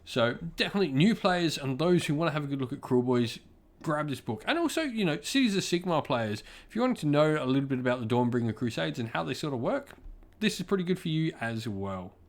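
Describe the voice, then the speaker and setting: A man's voice: fairly high pitch, big pitch swings, quick pace. A man giving an informal spoken review as a channel host, enthusiastic, stressing words and often circling back to repeat himself.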